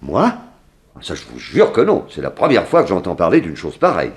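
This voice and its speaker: A small-town sheriff with a low, deep voice. His intonation rises and falls widely as he hesitates and exclaims. The delivery is folksy, flustered 1960s French radio acting. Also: wildly swooping pitch, fairly quick, heavily acted. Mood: startled protest.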